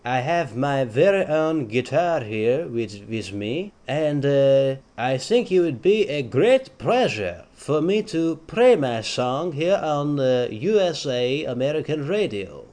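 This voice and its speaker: A man playing a bumbling North guest on a comedy radio bit, speaking halting, broken English. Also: mid-range voice, sing-song. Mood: earnest proud. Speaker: musical guest. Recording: guitar playing loudly behind.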